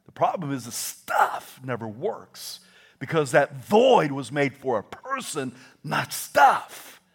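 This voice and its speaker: A middle-aged Southern American preacher delivering a sermon. He drops into soft, hushed asides, then climbs into loud, shouted declarations. He tells stories and acts out what other people said. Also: mid-range voice, gravelly, husky. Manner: animated storytelling with quoted voices.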